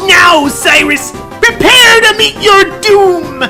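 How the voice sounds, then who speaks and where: A scheming scholar villain in a cartoon parody, blustering and easily flustered. The voice is extremely high and drawn out, with grand theatrical indignation. Music plays clearly behind it. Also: female voice, expressive, takes it slowly, full character voice. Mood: menacing bluster. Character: scheming, melodramatic book-thieving scholar, indignant and hammy villain. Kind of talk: comedic fan-dub voice acting.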